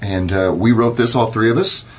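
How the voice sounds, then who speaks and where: Man, American accent, interview guest, casual talk, somewhat low pitch, raspy husky tone. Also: moderate pace. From Southern Illinois, USA. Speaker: country musician, interview guest. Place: radio studio.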